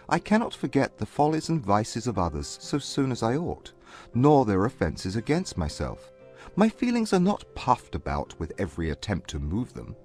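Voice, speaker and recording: A man acts out a proud gentleman of Regency England. He speaks formally and with aloof, measured haughtiness, his pitch moving widely through each phrase. Soft music plays beneath him in this audiobook drama. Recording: music bed under the voice.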